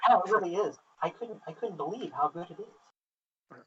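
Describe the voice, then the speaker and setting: A man interviewing casually and telling stories in an informal, sweary, excitable way, on a flawed low-quality recording. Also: normal speed. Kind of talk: casual chat, animated anecdotes. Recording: distant, muffled lo-fi, technical faults.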